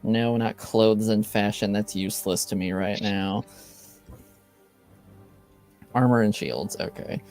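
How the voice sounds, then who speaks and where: A man running a tabletop role-playing game, talking in a halting way with lots of fillers. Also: fairly low pitch, a little mellow, soft background music. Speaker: game master.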